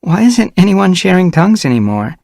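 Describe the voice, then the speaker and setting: A man's voice: sits high, very animated, a little fast, creaky, darkish tone, no echo, clean studio sound, pitched above the performer's own voice, heavily acted. A man voicing a young, curious female apprentice cat in a storybook, asking things in an anxious, inquisitive way.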